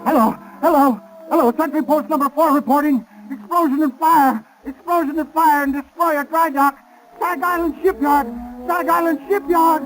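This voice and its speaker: An actor playing a frantic military sentry raising the alarm in a 1930s radio drama. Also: male voice, very high voice, steady tone, normal speed, pauses often, a bit bright, soft background music, rough recording. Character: frantic sentry reporting an emergency. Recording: old 1930s radio recording.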